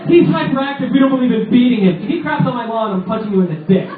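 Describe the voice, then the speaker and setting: A young man with a high, lively voice rambles sarcastically through a stand-up set in a small pub. The crowd laughs loudly around him. The sound is tinny and echoey, picked up from far off. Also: very fast. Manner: rambling, sarcastic, loaded with "like" fillers. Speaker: stand-up comedian. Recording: echoey lo-fi room recording from far off.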